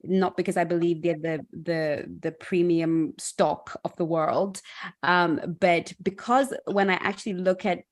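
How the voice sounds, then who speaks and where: A middle-aged woman hosting a panel discussion on dating, speaking reflectively and pausing to restart her thoughts. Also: on the low side, fairly even intonation. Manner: reflective, measured, with many restarts. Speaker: host.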